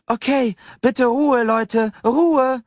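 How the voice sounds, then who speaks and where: A commanding young woman team leader, acted by a male audiobook narrator, speaks in a raised, high voice. She announces things briskly and in an organized way to a gathered crowd. The audio is slightly dull. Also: fairly even intonation, no echo, exaggerated voice. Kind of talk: audiobook character voice.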